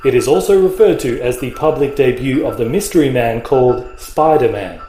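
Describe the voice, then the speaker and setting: A man playing a serious news reporter, reading scripted narration in a measured, formal way for a comic-book drama. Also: medium pitch, expressive, dark tone, lightly acted. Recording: roomy echo, faint music behind.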